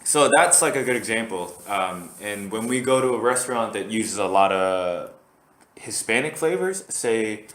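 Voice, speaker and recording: A man's voice: lowish voice, lively intonation, normal speed, fairly bright tone, roomy sound. A man from Korea, hosting a casual solo podcast and rambling with lots of fillers and restarts. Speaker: podcast host.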